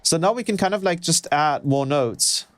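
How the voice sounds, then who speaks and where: A man's voice: fairly high pitch, normal speed, noisy background. A man who produces music, thinking aloud in a casual, hesitant way as he talks through a livestream tutorial. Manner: hesitant, musing while experimenting. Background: synthesizer music.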